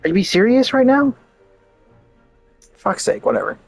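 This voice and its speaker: A man giving casual, informal play-through commentary as a game streamer, animated and incredulous. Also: very high voice, normal speed, creaky, soft background music.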